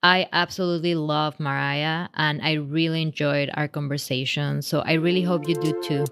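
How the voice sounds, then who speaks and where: Low-voiced Mexican-accented woman podcaster. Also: fairly even intonation, normal speed, over loud music. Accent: Mexican Spanish. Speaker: podcast host. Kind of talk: podcast intro and interview.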